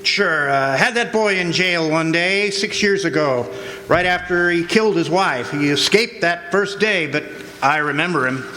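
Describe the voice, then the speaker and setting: A man's voice: fairly high pitch, a little slow, bright, forceful tone. A man playing a smug, folksy country sheriff in a radio drama, self-satisfied, peppering his talk with chummy asides. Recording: noisy reverberant hall stage recording.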